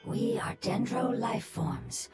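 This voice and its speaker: A serene, wise old spirit of the forest from a video game. The voice is fairly high, soft and airy, with melodic movement in its formal, gentle explanations. Loud music runs underneath. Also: female voice, sing-song, slow pace, creaky, heavily acted. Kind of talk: video game character acting.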